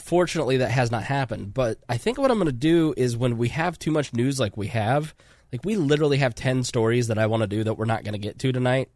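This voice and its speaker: A man with a cold-congested voice hosts a casual podcast, chatty and rambling, often restarting his sentences. His voice sits at an ordinary middle pitch. The sound is dry and close, with no room around it. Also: expressive, quick pace, hardly any pauses, darkish tone, dry recording. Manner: rambling, self-interrupting, chatty. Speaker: podcast host.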